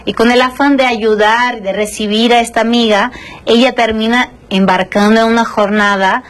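A woman's voice: a little high, steady tone, moderate pace. A woman from Brazil, a Portuguese speaker in her Spanish, answering conversationally as an interview guest and film director.

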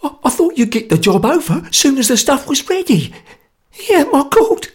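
A man voicing a panicky, cowardly male anarchist, stammering and squeaking in fright. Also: big pitch swings, a little slow, exaggerated voice. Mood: terrified.

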